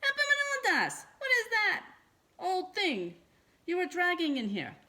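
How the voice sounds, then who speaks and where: A woman voicing an exasperated mother who scolds her young son, emphatic and shrill. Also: lively intonation, unhurried, fairly bright tone, a little hiss or hum. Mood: shrieking.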